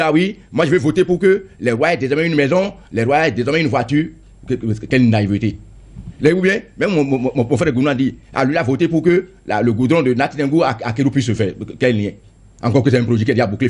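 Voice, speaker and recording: Hurried, husky politician. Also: male voice, a little high, a little mellow. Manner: insistent, repeats and stammers on words. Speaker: member of parliament. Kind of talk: heated political debate.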